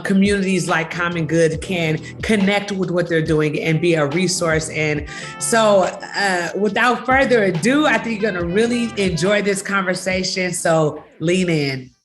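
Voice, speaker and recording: A woman hosting a conversational interview as a pastor, speaking in an effusive, welcoming way. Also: fairly low pitch. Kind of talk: warm-up introduction and conversational interview.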